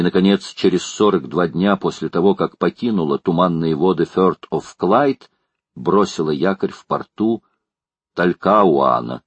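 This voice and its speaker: A man reading a classic adventure novel aloud as an audiobook narrator, in a measured, even storytelling manner. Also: low voice, husky, no room sound.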